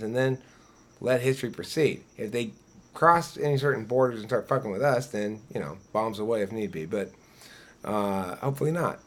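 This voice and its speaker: Opinionated man, loose chat. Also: medium pitch. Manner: opinionated, loose, swears freely. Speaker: debater in conversation. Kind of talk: casual chat.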